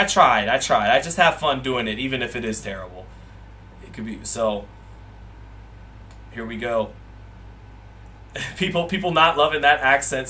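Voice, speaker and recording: A man's voice: mid-range voice, sharp tone. A man hosting a live boxing watch party, reading viewer comments aloud and rambling, with frequent repeats and restarts.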